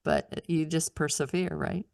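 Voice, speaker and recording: Older female podcast host, low and gravelly, encouraging tone. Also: a little slow, a little mellow, no echo, studio quality. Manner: upbeat, encouraging. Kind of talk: casual interview talk.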